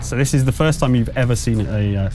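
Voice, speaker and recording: A man narrating as game master of a tabletop horror game, improvising and explaining rules with halting pauses. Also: medium pitch, warm tone, faint music behind.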